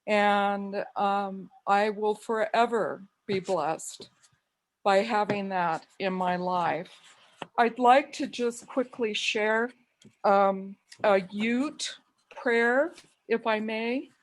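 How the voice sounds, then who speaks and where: Slow, even-voiced woman from Wyoming. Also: medium pitch. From Wyoming, USA. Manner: slow, calls own voice monotone. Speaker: mourner giving a tribute. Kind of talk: memorial remembrance and reading a prayer.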